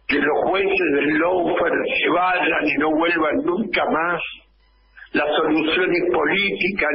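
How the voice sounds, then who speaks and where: Slow, gravelly Argentine radio commentator. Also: male voice, on the high side, dull audio, background noise. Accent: Argentine Spanish. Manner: slow, impassioned, hammering rhetorical questions. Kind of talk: political radio editorial. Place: small radio studio. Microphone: studio microphone close up, wearing headphones.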